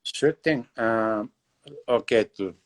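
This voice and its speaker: Man, forties, from Bulgaria, English, deep voice, halting with lots of fillers, video call. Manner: hesitant, many fillers and pauses. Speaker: guest leatherworker and shoemaker. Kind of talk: casual interview over video call.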